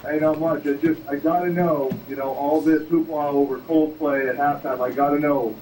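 A male caller chats casually on a radio show. His mid-pitched voice comes over the phone, sounding distant with faint noise. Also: fairly even intonation, normal speed, mellow. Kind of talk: casual chat. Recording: distant, noisy phone call.

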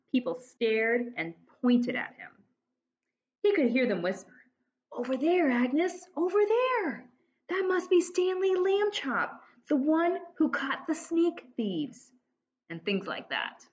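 A woman's voice: sits high, muffled recording. A woman in her forties, a teacher, reading a children's chapter book aloud at home into a laptop webcam, voicing the dialogue expressively. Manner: slow, expressive storytelling with lively voiced dialogue. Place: home room, indoors.

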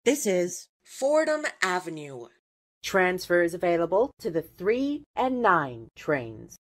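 A woman reading scripted train announcements in a measured, formal, segmented way. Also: fairly low pitch, sing-song. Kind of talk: scripted transit announcement, spliced clips.

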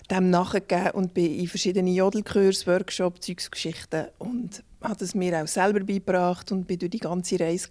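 A woman in her forties with a Bernese Swiss German accent, answering interview questions softly and a little breathily in a small studio room. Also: mid-range voice. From Bern, Switzerland. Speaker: singer and teacher, interview guest. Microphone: handheld mic held by interviewer.

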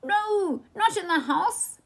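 Woman voicing a high, fretful scolding storybook fish. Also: lively intonation, slow, exaggerated voice. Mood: scolding, alarmed. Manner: urgent, fretful exclaiming. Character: alarmed scolding pet fish, fretful and bossy.

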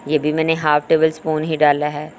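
A woman's voice: sits low, completely flat delivery, a little fast. A woman giving an informal, instructional recipe voice-over as a cooking host. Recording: home recording with clear background noise.

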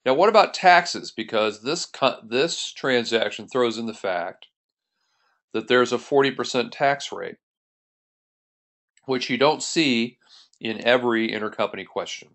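A man's voice: mid-range voice, lively intonation, unhurried, fairly bright tone. A man working as an accounting instructor, narrating a tutorial in a methodical, step-by-step way. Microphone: voice-over on a screen recording.

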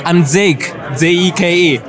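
A man's voice: high-pitched, measured pace, music in the background, a crowd in the background. A man visiting the event, chatting in a self-mocking way into a handheld mic. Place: crowded indoor event room.

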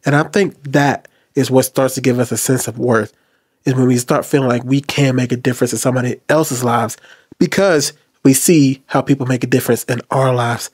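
A man's voice: mid-range voice, fairly even intonation, brisk, husky, no room sound, clean studio sound. A man in his thirties hosting a reflective commentary, talking earnestly to the camera from a small room. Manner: earnest, advice-giving. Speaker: host. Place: small decorated room indoors. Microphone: desk mic in front.

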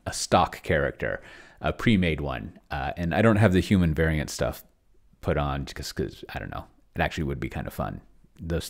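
Very deep-voiced man rambling casually. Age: 40s. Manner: halting, digressive, self-interrupting. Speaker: streamer. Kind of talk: casual rambling livestream talk. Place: small home office with bookshelves. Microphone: large desk microphone up close.

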